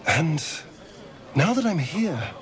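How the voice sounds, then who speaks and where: An actor playing a vain, seductive and sardonic rogue in a video game, with an arch, theatrical drawl. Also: male voice, fairly high pitch, very animated, takes it slowly. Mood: theatrical disgust.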